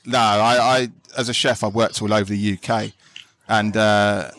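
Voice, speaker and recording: A man's voice: fairly low pitch, level tone, normal speed, a bit bright, no room sound. An English man, a podcast guest, chatting casually and telling stories. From England. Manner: chatty storyteller, says "do you know what I mean" often.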